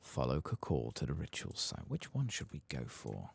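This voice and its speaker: A soft-spoken man giving ASMR video game commentary, musing to himself and reading quest text aloud in an unhurried way. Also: rumbling low, huge pitch swings, vocal fry, breathy edge. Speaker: ASMR gaming streamer narrating his play.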